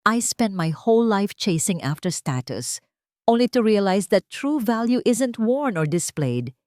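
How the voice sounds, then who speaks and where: A woman's voice: mid-range voice, very animated, unhurried, darkish tone, no echo, clean studio sound, voice pushed up. Woman voicing a bitter, resigned fallen socialite. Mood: bitter resignation.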